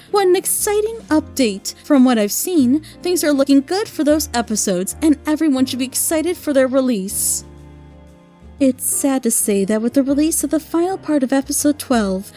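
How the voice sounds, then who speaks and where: A woman with a high, soft-edged voice narrates a scripted review. Her pitch moves in animated swings while her phrasing stays measured and careful. Faint music plays behind her as she hosts. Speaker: host and reviewer of a monthly audio drama show.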